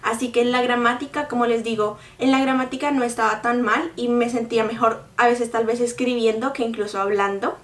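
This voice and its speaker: A woman's voice: a little high, fairly even intonation, brisk, few pauses, far from the mic. A young woman in her twenties, speaking to her viewers casually and earnestly about her own experience. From Colombia. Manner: earnest, explanatory, direct address to viewers. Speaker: vlogger. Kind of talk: casual talk to camera. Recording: roomy, mic set back from speaker.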